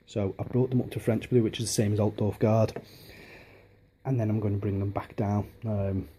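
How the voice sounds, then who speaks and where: A man in his thirties from Liverpool, chatting casually on a home vlog with a softened Liverpool accent, rambling with many restarts and ums, filmed on a handheld camera in his dining room. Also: on the low side, little pitch movement, moderate pace, husky. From Liverpool, England. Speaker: hobbyist vlogger. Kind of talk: casual home video chat.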